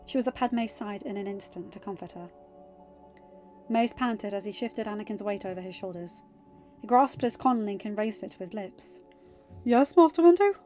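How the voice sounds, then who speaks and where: A woman narrates scripted fiction in a mid-pitched voice. The recording is a little muffled, with faint music playing behind her. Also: normal speed, a little mellow. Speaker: audiobook reader of fan fiction. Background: background music.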